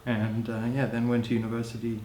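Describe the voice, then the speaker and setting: A man in his thirties who grew up in Hong Kong, speaking as an interviewee in a thoughtful, musing way, often adding "you know" and soft laughs. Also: mid-range voice, monotone, brisk, a little hiss or hum. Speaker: interviewee, social anthropologist. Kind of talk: reflective personal account. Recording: roomy echo.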